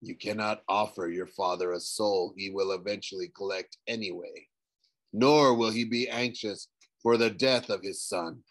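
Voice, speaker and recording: A man reading an audiobook, voicing a sly, menacing male ghost in a low, hushed, breathy voice, slow and persuasive. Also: fairly even intonation, lightly acted, low-quality recording. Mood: coaxing, sinister. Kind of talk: audiobook character voice.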